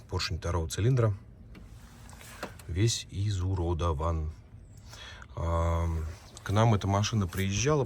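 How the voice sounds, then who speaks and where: A hoarse male mechanic casually walking through an engine teardown in a repair garage, matter-of-fact and a little rueful. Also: low-pitched, slight background noise. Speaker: auto mechanic, channel host. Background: music.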